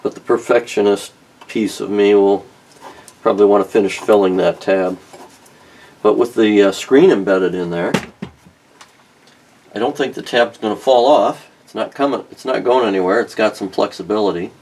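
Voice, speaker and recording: A man's voice: on the low side, moderate pace, darkish tone. A middle-aged man narrating a repair at his workbench in a casual, thinking-aloud way with many ums and restarts. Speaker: car restoration vlogger. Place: home workshop at a workbench.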